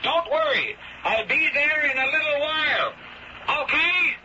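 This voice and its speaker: Blunt high-voiced seaman over boat radio. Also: expressive, unhurried, sharp tone, exaggerated voice. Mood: apologetic, reassuring. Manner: blunt, insistent, plain-spoken. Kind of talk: old-time radio drama acting. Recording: dull noisy radio drama recording.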